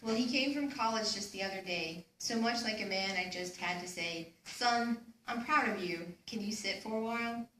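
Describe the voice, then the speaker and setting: A woman's voice: mid-range voice, normal speed, few pauses, bright, forceful tone, close to an everyday voice. Woman playing a wistful father, echoey room. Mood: proud, fond. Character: wistful, proud retired father looking back. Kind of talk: spoken song lyrics in readers theater. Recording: echoey room, distant mic, faint noise.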